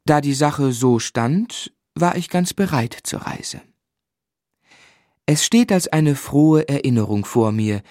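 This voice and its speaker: A man playing a gentle narrator fondly recalling his boyhood in measured, literary radio drama narration. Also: medium pitch, no room sound, studio quality, natural voice. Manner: measured, reflective.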